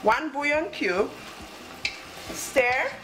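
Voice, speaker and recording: A woman's voice: high-pitched, animated, extremely slow, noisy background. A woman hosting a cooking show, giving step-by-step instructions in short phrases. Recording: music under the voice.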